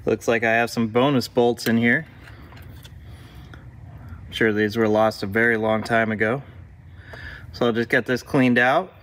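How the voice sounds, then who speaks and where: A man's voice: mid-range voice, fairly even intonation, measured pace, long pauses, fairly bright tone, slight background noise. A man narrating a car repair step by step, practical and instructive, as the host of his own workshop videos.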